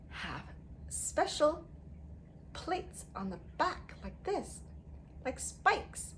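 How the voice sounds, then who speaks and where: High, animated woman teaching young children. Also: a little hiss or hum. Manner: sing-song, exaggerated, prompts children with questions and repetition. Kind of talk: interactive read-aloud lesson for young children.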